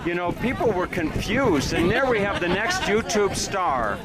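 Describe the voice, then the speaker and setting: A man's voice: high voice, sing-song, measured pace. A man from Cleveland filming his trip and chatting casually to the camera, marvelling and repeating himself, on a cold, windy mountain train. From Cleveland, USA. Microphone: handheld camera. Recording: loud wind, engine and crowd noise.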